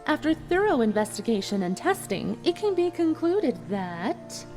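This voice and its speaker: A woman voicing a cruel, smirking female unicorn villain in a storybook reading, mocking and deliberate. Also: high voice, big pitch swings, a little mellow, faint music behind, pitched above the performer's own voice. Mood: smug, taunting.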